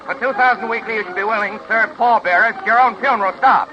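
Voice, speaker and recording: An actor playing a bossy, scatterbrained movie mogul, pompous and blustering in 1930s radio comedy style. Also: male voice, high-pitched, quick pace, natural voice. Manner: pompous, blustering, barking orders. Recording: dull 1930s radio recording with music.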